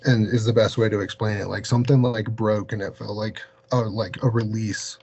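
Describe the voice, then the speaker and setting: A man chatting casually, haltingly and in a rambling way, leaning heavily on filler words. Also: lowish voice, flat delivery, a little mellow, husky. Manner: halting, rambling, heavy use of "like". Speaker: podcast interviewer. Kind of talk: casual chat. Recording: dull lo-fi mic with hum and hiss.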